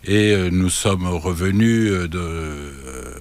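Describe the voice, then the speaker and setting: An older man from Congo, a guest in a radio interview, speaking haltingly with many restarts. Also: low voice, expressive, darkish tone. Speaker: guest, banking consultant.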